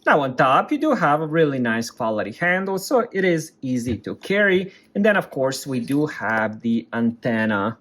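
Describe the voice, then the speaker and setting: A man's voice: medium pitch, sing-song, moderate pace, few pauses, a bit bright. A man giving a casual hands-on product review, explaining things plainly step by step.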